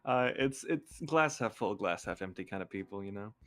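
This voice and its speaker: A man's voice: fairly low pitch, lively intonation, moderate pace, soft background music. A man guesting on a livestream, chatting casually in a hesitant, self-deprecating way into a close USB microphone. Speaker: guest streamer.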